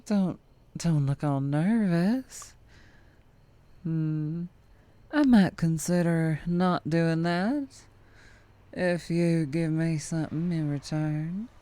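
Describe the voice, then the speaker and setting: A woman performs a tough, playful vampire truck driver with a Southern US accent in an ASMR roleplay. Her voice sits very low for a woman and moves at a leisurely drawl. She teases like a predator toying with prey, lingering between phrases. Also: darkish tone. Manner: slow, teasing drawl with frequent chuckles and lingering pauses.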